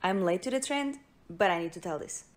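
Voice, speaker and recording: A woman's voice: on the low side, expressive, fairly quick. A woman from Portugal with a Portuguese accent, casually telling a story in English to camera.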